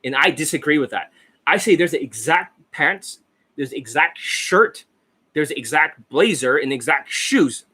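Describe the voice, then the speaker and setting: A man coaching about dating who talks in a brash, profane, rambling way, hoarse and losing his voice, with coughs between lines. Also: a little high, bright, forceful tone. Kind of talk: casual monologue over a screen share. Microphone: desk microphone.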